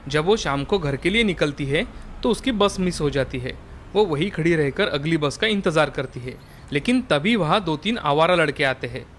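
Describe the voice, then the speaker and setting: A man's voice: fairly high pitch, expressive, moderate pace, fairly bright tone, slight background noise. A man narrating a scripted children's cartoon story.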